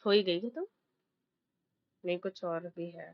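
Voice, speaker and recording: Very fast-talking woman, dull lo-fi mic. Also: on the low side, no echo. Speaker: gaming streamer. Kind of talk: casual live stream chat. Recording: dull, lo-fi close microphone.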